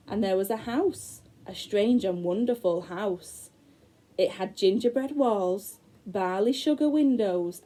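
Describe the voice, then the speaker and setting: Expressive teacher reading a fairy tale. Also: female voice, medium pitch. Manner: expressive, slow, dramatic storytelling with gasps. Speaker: primary school teacher. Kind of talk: storybook read-aloud for young children.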